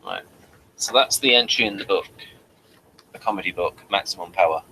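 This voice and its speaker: A man's voice: deep voice, normal speed, vocal fry, noisy background. A man hosting a conversational review, reading notes aloud and adding dry, wry asides. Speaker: review show host.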